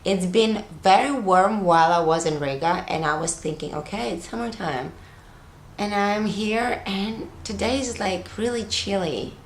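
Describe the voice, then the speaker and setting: A woman's voice: medium pitch, unhurried, echoey room, slight background noise. A woman in her thirties from Latvia, chatting casually through a makeup tutorial on her sofa, rambling with fillers. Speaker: beauty vlogger. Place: living room, on a sofa, indoors.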